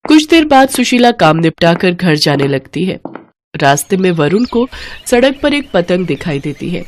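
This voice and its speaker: A woman's voice: fairly low pitch, sing-song, moderate pace, a little hiss or hum. A woman reading a scripted moral story for children as the narrator.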